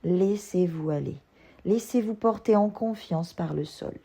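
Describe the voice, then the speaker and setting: A woman yoga instructor leading a guided relaxation meditation, giving gentle, soothing instructions. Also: on the low side, a little slow, creaky, husky.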